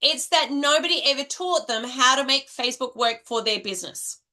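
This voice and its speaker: A woman's voice: high-pitched, animated, moderate pace, sharp tone. A middle-aged Australian woman coaching in a chatty monologue, tagging nearly every point with "right?". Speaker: direct selling coach. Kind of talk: casual coaching monologue to camera.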